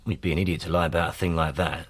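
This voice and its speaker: An actor playing a weary, defensive police detective and husband with a British accent in a naturalistic radio drama. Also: male voice, deep voice, little pitch movement, racing, breathy edge. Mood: weary, confiding. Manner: exasperated, earnest.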